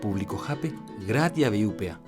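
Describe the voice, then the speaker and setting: A man's voice: fairly low pitch, lively intonation, moderate pace. A man with a Paraguayan accent reading a scripted health announcement as a radio presenter. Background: guitar music.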